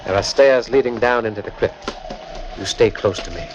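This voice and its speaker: An actor playing a cultured, confident amateur detective with a measured, faintly smug manner in a 1940s radio mystery. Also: male voice, fairly low pitch, background noise, close to an everyday voice. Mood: alert, guarded. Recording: dull 1940s radio recording with music.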